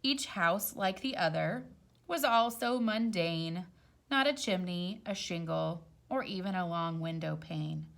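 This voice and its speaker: A woman reading a picture book aloud to young children, expressive, with casual explanatory asides. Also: fairly low pitch, measured pace, vocal fry. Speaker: storytime reader.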